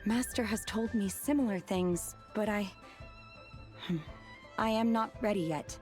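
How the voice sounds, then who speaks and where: Woman as reserved, mid-pitched young disciple. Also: a little slow, a little mellow, close to an everyday voice. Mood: hesitant, earnest. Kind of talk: video game character acting. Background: game music.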